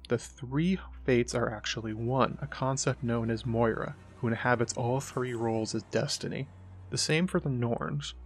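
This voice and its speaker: Medium-pitched man, scripted explainer narration. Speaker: host, presenter.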